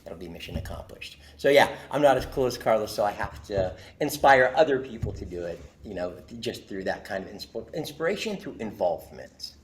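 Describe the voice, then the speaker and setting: A man from Jordan, a podcast guest, telling an earnest, expressive story in casual conversation. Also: lowish voice, fairly quick, hardly any pauses.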